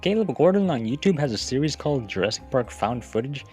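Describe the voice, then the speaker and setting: A man delivers scripted video-essay narration with a casual, conversational feel. His voice sits at an average pitch, and he slips in wry, profane asides. Music plays softly behind him. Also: big pitch swings, fast talker. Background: background music.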